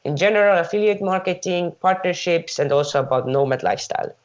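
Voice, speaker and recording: Low-voiced woman, dull audio. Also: fast talker. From Estonia. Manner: explanatory, steady with fillers. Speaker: guest, marketing professional. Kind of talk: interview answers.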